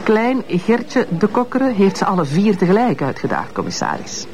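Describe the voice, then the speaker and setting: A woman's voice: medium pitch, big pitch swings, moderate pace, darkish tone. An actress with a Flemish accent playing a bitter, sardonic ex-wife in her fifties in a radio drama, speaking in a mocking, insinuating way. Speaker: witness, ex-wife.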